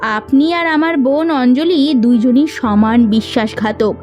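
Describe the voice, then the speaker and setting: A woman's voice: sits high, a little slow, darkish tone, dull audio, soft background music. A woman voicing a proud elder sister who runs a village kitchen, speaking in a stern, accusing way in a cartoon drama. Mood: angry, accusing. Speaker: voice actor.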